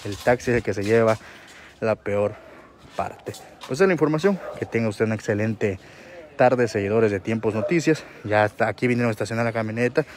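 A man reporting live from a street intersection, talking informally with many filler words. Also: fairly low pitch. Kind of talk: live on-scene news report. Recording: faint outdoor street noise. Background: street and traffic sounds.

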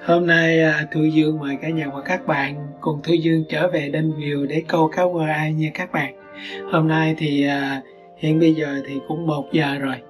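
A man's voice: on the high side, flat delivery, normal speed, soft background music. A man with a Southern Vietnamese accent chats casually to viewers as a fishing vlog host, often addressing them directly. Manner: addresses viewers often, simple step-by-step explaining.

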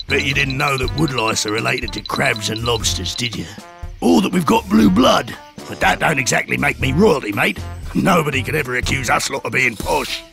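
A man with a Cockney accent voicing a cheeky, uncouth but soft-hearted woodlouse in a children's cartoon. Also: medium pitch, vocal fry. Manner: cheeky, matey, unrefined. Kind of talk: children's cartoon character narration.

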